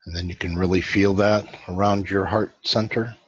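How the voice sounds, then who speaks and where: A man leading a guided meditation as host, giving slow, soothing instructions with long pauses and a husky voice. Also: sits low, fairly even intonation. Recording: dull, lo-fi, roomy sound.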